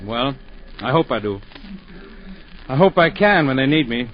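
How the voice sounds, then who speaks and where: An actor playing a gentle, good-humored village vicar, genial and lightly teasing, in a 1940s radio play. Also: male voice, medium pitch, lively intonation, measured pace, a little hiss or hum, close to an everyday voice. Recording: muffled 1940s radio broadcast.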